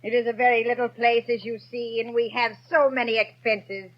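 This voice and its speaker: A woman's voice: fairly high pitch, steady tone, normal speed, close to an everyday voice. An actress playing a greedy, bullying innkeeper's wife in a 1930s radio drama, scolding those beneath her and fawning on guests. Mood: fawning, calculating. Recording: dull vintage 1930s radio recording.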